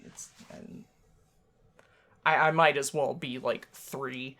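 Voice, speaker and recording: A woman's voice: very deep voice, steady tone, takes it slowly, a bit bright. A woman co-hosting a game playthrough, bantering casually in a joking, rambling way. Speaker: gaming channel co-host.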